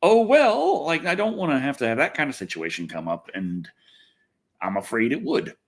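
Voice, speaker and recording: Animated man, wry and exasperated. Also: medium pitch, moderate pace. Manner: wry, exasperated, sweary griping. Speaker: livestream host. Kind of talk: casual rambling livestream chat, reading comments.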